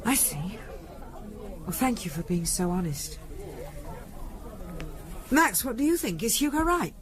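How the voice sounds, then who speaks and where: A woman playing an anxious but determined middle-class English wife in a 1970s radio drama, halting and pleading as she repeats her words. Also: medium pitch, very animated, crowd noise. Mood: subdued, probing.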